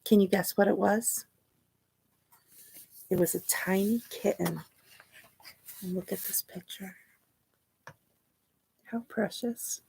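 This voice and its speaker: A woman reading a children's picture book aloud expressively, pausing to ask her young listeners questions and point things out. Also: medium pitch, fairly even intonation, gravelly, warm tone, a little hiss or hum, rough recording. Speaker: storytime reader and teacher.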